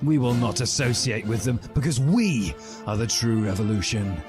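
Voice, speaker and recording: A man's voice: medium pitch, expressive, moderate pace, lightly acted. A man voicing a zealous, angry, self-righteous communist schoolboy in a declamatory storybook reading. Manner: declamatory, indignant. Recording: music behind the voice.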